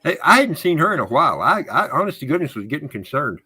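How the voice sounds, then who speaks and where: A middle-aged man with a bright, forceful voice chats on a podcast livestream. He is friendly and folksy and greets viewers warmly by name. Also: on the high side, very animated, fast, slightly rough. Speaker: podcast co-host. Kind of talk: casual livestream chat.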